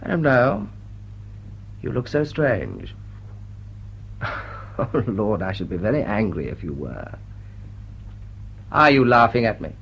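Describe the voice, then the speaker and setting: An actor playing a cynical, smitten upper-class bachelor lord in a period drawing-room drama, witty and arch. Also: male voice, on the low side, very animated, slow pace, creaky, pauses often, fairly bright tone, slightly rough, heavily acted. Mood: wounded, suspicious. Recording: dull, muffled audio.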